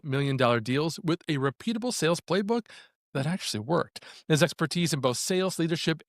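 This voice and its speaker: A man hosting a business podcast, introducing his guest and asking measured questions. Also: on the high side, no room sound, studio quality. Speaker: podcast host. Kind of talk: podcast interview.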